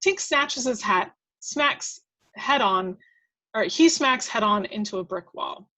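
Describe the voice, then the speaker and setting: A woman's voice: medium pitch, expressive, slow pace, sharp tone, poor audio. A woman reading scripted action descriptions aloud at a table read, now and then stumbling and correcting herself.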